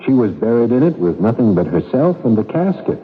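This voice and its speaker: A man acting the part of a troubled, skeptical young archaeologist in a 1970s radio drama, talking colloquially and haltingly. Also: medium pitch, sing-song, close to an everyday voice. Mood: dismissive. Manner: colloquial, hesitant, halting storytelling. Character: troubled young male archaeologist, casual, skeptical, grieving his father. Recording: muffled vintage radio recording, music behind.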